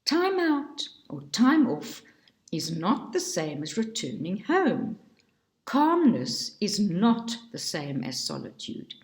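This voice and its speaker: A woman reading a book aloud in a slow, measured way, now and then stumbling over a word. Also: echoey room. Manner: slow, measured reading with lively pitch and occasional slips. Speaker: reader.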